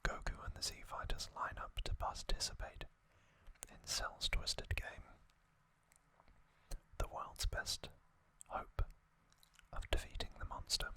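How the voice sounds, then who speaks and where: An ASMR host whispering in a breathy, unvoiced voice, with hushed, deliberate phrasing and long pauses. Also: rough recording. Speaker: host of an ASMR trivia channel. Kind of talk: ASMR, whispered reading and commentary.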